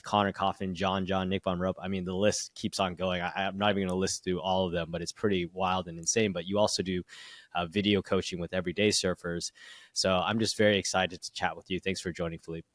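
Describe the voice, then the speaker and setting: A man hosting a podcast speaks in a very low voice with upbeat enthusiasm. The recording is dry and close, with little room sound. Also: no echo. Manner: upbeat, enthusiastic, many fillers. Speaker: podcast host. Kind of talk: podcast interview.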